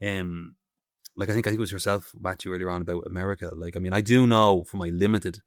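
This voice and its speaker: Irish man, 30s, quick rambling talk, lowish voice, dry sound. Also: fairly even intonation, dry recording. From Ireland. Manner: rambling, searching for words, many restarts. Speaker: guest stone carver. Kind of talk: casual interview chat. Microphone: remote call.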